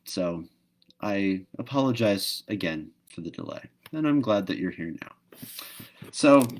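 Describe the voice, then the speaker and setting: A man's voice: lowish voice, expressive, measured pace, slight background noise. A man hosting a live read-aloud, moving between casual talk and storybook narration. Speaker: host reading a book aloud.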